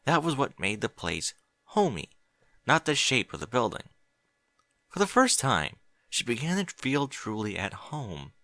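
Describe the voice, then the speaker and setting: Lively, bright-voiced man narrating a story. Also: medium pitch, a little slow, dry recording. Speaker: audiobook narrator.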